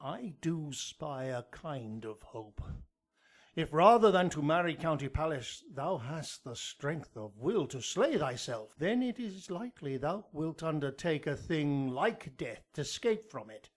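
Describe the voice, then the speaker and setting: Male, grave friar counsellor in classical radio play; slightly high-pitched, unhurried, deliberate verse delivery. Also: sing-song. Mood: grave, concerned. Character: solemn, fatherly friar counselling a young woman. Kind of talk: Shakespearean radio drama acting.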